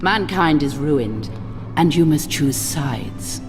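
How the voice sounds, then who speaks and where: Cruel, imperious vampire queen, video game cutscene. Deep female register, unhurried, mocking, theatrical, over loud ominous score. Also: expressive, exaggerated voice. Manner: theatrical, slow, taunting, slipping into breathy whispers. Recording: loud scary music behind the voice. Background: loud ominous music.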